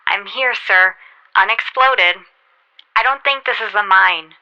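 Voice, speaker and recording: A woman's voice: mid-range voice, takes it slowly, no room sound, lo-fi sound, natural voice. A woman playing an eager, brave and deferential spaceship officer in a sci-fi radio drama, reporting in a clipped, respectful military way. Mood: intent, reporting. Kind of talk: golden age sci-fi radio drama acting.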